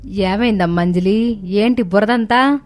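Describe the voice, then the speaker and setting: A woman's voice: mid-range voice, unhurried, a little mellow, natural voice. Woman acting rustic older village mother-in-law. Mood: concerned. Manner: rustic colloquial village speech. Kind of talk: animated moral-story voice acting. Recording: music bed under the voice.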